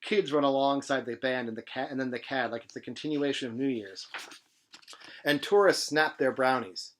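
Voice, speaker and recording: A man reading literary fiction aloud in an expressive, dramatic manner with emphatic phrasing. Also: medium pitch, moderate pace, slight background noise. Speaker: presenter reading a novel aloud.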